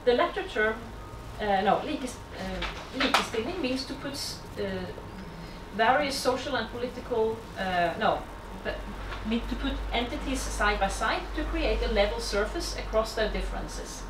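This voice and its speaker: A Norwegian-born woman delivers an academic lecture in English with a somewhat high voice. She reads from a prepared text in a measured way, now and then correcting herself. The sound is roomy and distant, with steady noise underneath. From Norway. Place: lecture hall. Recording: echoey hall from far off, background noise.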